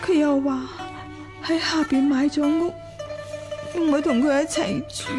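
An actress playing a frail, gravely ill concubine in a radio drama, speaking plaintively and with resignation. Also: female voice, sits high, fairly even intonation, measured pace, music in the background. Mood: fearful, plaintive. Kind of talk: Cantonese radio drama acting.

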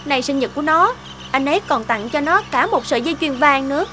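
High-voiced, pouty young girlfriend. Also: expressive, quick pace. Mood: envious sulking. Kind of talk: cartoon dubbing.

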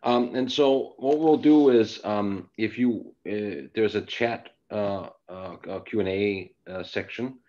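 A man's voice: on the low side, slow pace, few pauses, warm tone. A middle-aged man from Denmark giving a casual webcast presentation from his living room at home, talking with many fillers and restarts. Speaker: engineering executive presenter. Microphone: laptop webcam mic, video call. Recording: dull lo-fi video call audio.

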